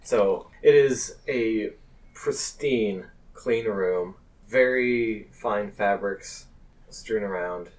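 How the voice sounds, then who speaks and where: A man acting as game master, describing scenes in an improvised tabletop game with halting, deliberate phrasing. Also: on the low side, darkish tone, rough recording.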